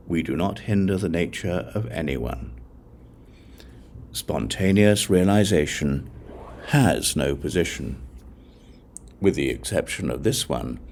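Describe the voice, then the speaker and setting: A man with a very low voice reads scripture as an audiobook narrator. His tone has a lot of gravelly fry, and he delivers the text solemnly in measured phrases. Some background noise sits under the recording. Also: a little mellow. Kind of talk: scripted reading of a Buddhist scripture.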